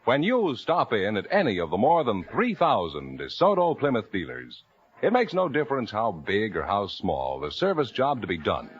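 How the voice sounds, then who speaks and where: A man's voice: lowish voice, wildly swooping pitch, moderate pace. A man announcing on a 1950s radio show with formal, emphatic delivery. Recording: dull 1950 radio recording.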